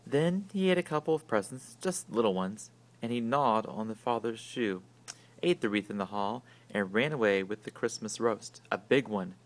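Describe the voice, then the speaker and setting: A man reading a children's picture book aloud with deliberate, dramatic storytelling. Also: fairly low pitch, lively intonation. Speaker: story reader. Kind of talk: expressive picture-book narration.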